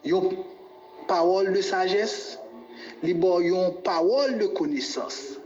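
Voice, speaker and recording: A man's voice: high voice, slow, darkish tone, a little hiss or hum. A man with a Haitian accent teaching the Bible as a pastor, didactic, repeating key phrases and checking that listeners follow. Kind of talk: Bible teaching on spiritual gifts. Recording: dull, echoey room recording with music behind.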